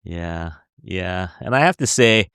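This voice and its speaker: A man's voice: low voice, expressive, takes it slowly, fairly bright tone, smooth, dry recording, studio quality. A man hosting a podcast interview, chatty and giggly, asking long rambling questions. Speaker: podcast host. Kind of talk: casual interview.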